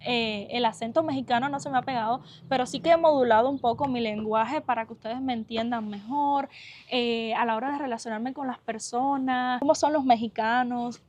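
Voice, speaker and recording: A young woman from Cuba speaks straight to her followers in a slightly high voice, her Cuban accent softened. She talks earnestly and from the heart, pausing on fillers and restarting phrases as she thinks. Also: highs cut off, a little hiss or hum. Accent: Cuban Spanish, softened. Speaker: vlogger. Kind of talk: casual talk to viewers.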